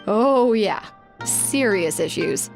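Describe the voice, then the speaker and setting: A wry female clinic clerk from a video game, gossips in a mid-pitched voice. She is dry and teasing, easily amused, over faint background music. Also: animated, slow pace, creaky. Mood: gossipy.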